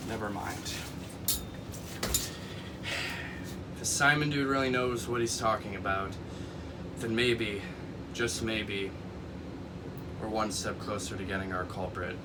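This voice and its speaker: A man delivering a scripted monologue in a muttering, halting manner. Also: fairly low pitch. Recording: clear air-conditioner-like background hum.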